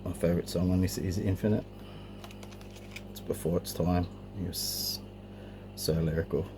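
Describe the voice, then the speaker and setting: A man's voice: low voice, steady tone, moderate pace. A middle-aged man giving a casual, unscripted show-and-tell of his collection, a little hesitant, on an amateur camera recording. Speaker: collector presenting a cassette collection. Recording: clearly noisy amateur home recording.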